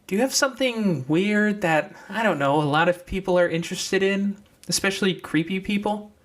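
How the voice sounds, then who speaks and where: A man voicing an excitable young girl in a deer mask for an audiobook, speaking in an urgent, conversational way. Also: high voice, normal speed, voice pushed up. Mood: hesitant, urgent.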